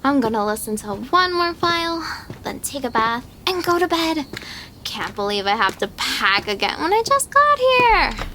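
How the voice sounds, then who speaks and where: A woman's voice: high voice, moderate pace. A woman playing a weary, wary young woman musing to herself in an audio drama monologue. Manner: musing, expressive. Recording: faint background noise and ambience.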